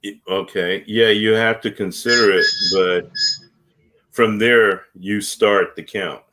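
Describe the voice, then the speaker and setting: A man's voice: fairly low pitch, fairly even intonation, takes it slowly, pushed and bright, rough recording. A man in casual chat, talking emphatically and swearing freely.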